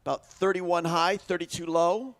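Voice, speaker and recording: Live track commentator: man with a higher-set voice, conversational delivery that builds with the race. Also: fairly even intonation, normal speed, fairly bright tone.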